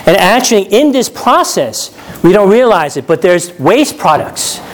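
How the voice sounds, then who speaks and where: Man, from New York, preacher, casual sermon, fairly high voice, keeps asking "right?", laughs at his own stories. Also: very animated, measured pace, poor audio. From New York, USA. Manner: chatty, often checks in with "right?". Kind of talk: conversational sermon.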